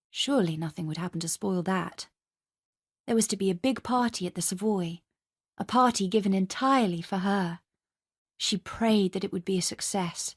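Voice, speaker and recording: British woman reading dramatic fiction. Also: medium pitch. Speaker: story reader. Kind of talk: dramatic literary narration for radio.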